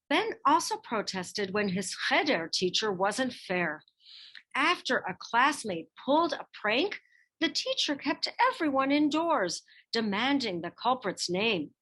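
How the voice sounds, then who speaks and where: Slow, lively high-voiced woman reading a storybook. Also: slightly rough. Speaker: reader of a children's picture book biography. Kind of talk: expressive scripted storybook narration.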